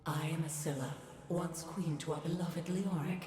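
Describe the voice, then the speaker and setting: A woman's voice: deep voice, fairly even intonation, normal speed, noisy background, natural voice. An actress playing the sorrowful, regal ghost of a queen in a video game, speaking solemnly and formally. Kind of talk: dramatic video game voice acting. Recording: roomy echo over music.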